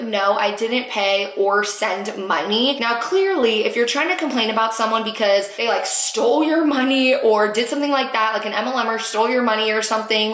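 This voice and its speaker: Host: young woman, nasal and a bit hoarse from losing her voice, fairly high, chatty step-by-step explainer, echoey room. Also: a bit bright. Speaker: anti-MLM video host. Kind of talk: casual screen-walkthrough tutorial.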